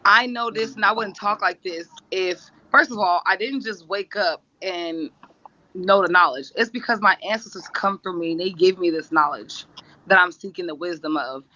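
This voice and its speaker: A woman's voice: fairly low pitch, normal speed, pushed and bright, dry recording. A woman talking at length in an interview clip, insistent and assertive, repeating her points. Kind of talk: rambling monologue. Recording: dull lo-fi clip.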